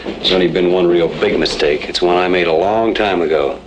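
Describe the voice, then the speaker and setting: Man playing grave folksy cowboy looking after a younger man, low voice, faint hiss old radio western. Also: a little fast, close to an everyday voice. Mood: weary, resolute. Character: weary, determined cowboy guardian of a younger man.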